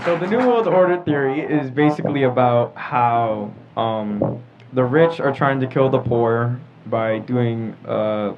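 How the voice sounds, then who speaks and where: A man's voice: medium pitch, measured pace, hardly any pauses, over music, a crowd in the background. A young man moderating a casual group discussion, speaking informally. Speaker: podcast moderator. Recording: muffled audio with clear background noise.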